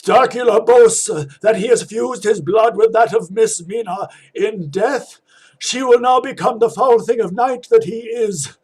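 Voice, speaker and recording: A man acts a resolute vampire hunter in a high, husky voice. He speaks slowly and emphatically, grave and defiant, in old-fashioned radio play style. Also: darkish tone. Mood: alarmed, urgent. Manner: emphatic, dramatic. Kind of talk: theatrical radio play acting.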